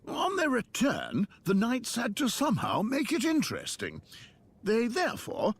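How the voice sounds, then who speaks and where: An actor playing a chatty, drily amused priest telling rambling stories in a video game. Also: male voice, very high-pitched, huge pitch swings, a little slow, gravelly, slight background noise, heavily acted. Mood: wryly amused. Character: chatty, wry priest and shepherd of a church. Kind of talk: video game character dialogue.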